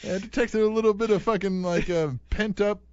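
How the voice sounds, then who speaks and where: A man's voice: sits high, fairly even intonation, a little fast, darkish tone, smooth, no echo, dull audio. A man around thirty co-hosting a podcast, teasing his co-host and swearing casually. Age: 30s. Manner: teasing, swearing, reads listener tweets aloud. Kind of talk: casual wrestling podcast chat.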